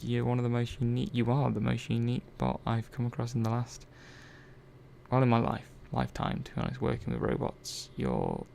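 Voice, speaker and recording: Man playing a hesitant technician, very gravelly voice. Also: medium pitch, lively intonation, a little mellow, a little hiss or hum. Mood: worried, protective. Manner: hesitant, halting, trailing off mid-sentence. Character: earnest, hesitant male robot repair technician, quietly protective. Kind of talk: soft-spoken ASMR roleplay.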